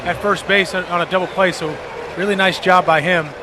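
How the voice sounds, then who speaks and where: A man's voice: sits high, fairly even intonation, fairly quick, background noise. A man with an American accent giving conversational color commentary on live baseball, explaining tactics in long running sentences. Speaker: radio baseball color analyst. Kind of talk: live analytical sports commentary.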